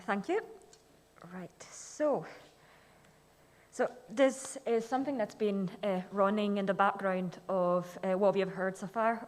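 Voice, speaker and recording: A young woman from Switzerland giving a conference presentation in a measured, careful way. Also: medium pitch. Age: young adult. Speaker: student presenter. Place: lecture hall.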